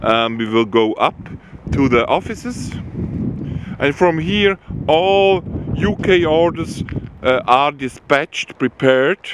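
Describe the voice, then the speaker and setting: A man, founder of a plant nursery, giving a walking tour in halting with many pauses. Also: a little high, animated, background noise. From Switzerland. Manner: halting non-native English with frequent uh pauses. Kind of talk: casual walking tour narration. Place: outdoors at a nursery, cold wind. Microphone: camera mic, walking behind the camera.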